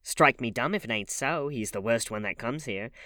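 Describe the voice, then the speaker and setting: A man's voice: medium pitch, normal speed, pushed and bright, no room sound, studio quality, lightly acted. A man voicing a scornful, desperate middle-aged woman from a bar, speaking coarsely in a novel reading. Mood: vehement. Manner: hissing, sneering, coarse working-class speech.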